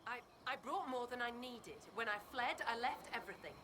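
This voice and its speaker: An actress playing a prickly, guarded exiled apprentice mage in a video game, agitated and using archaic phrasing. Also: female voice, on the high side, expressive, a little fast, background noise, lightly acted. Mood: defensive, indignant.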